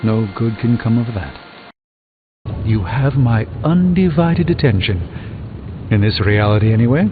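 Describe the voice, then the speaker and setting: An actor playing an erudite, dryly witty sorcerer in a video game, with pompous, theatrical, ornate delivery. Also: male voice, medium pitch, expressive, measured pace, noisy background, close to an everyday voice. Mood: dry, composed. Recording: muffled phone-like band, music behind.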